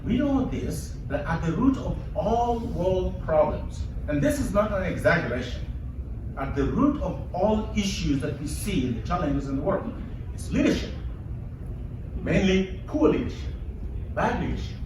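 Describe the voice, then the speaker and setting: A man's voice: sits high, sing-song, normal speed. Kenyan man speaking in an echoey room. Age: middle-aged. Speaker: public speaker, leader of an organization. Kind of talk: live speech to an audience, personal storytelling. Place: indoor event before an audience. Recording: echoey room, distant mic, background noise.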